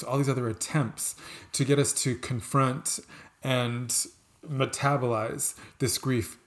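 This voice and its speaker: A man with an average-pitched voice leads a book discussion. He talks in a reflective, academic way, hedging often. He builds a long, careful question and checks in with tag questions as he goes. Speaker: interviewer, moderator.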